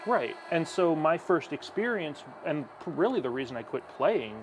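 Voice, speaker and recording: A man's voice: on the high side, big pitch swings, moderate pace, slight background noise, poor audio. A young man who broadcasts hockey, chatting while gaming and then calling the action play-by-play.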